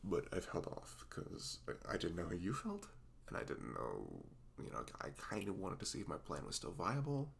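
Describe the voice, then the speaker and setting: A man acts out a sweet, nervous boyfriend in an intimate ASMR roleplay. His voice is very low and gravelly, swinging widely in pitch as he stammers and apologizes tenderly. A little noise sits behind him. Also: huge pitch swings, exaggerated voice. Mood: sheepish, nervous. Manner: stammering, halting, apologetic, breaking into soft nervous murmurs.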